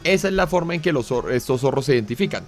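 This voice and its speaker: A man's voice: mid-range voice, animated, racing, faint music behind. A man with a Colombian accent, co-hosting an anime talk show in a casual, chatty way, full of fillers and tag questions. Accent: Colombian Spanish. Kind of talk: casual explanatory chat.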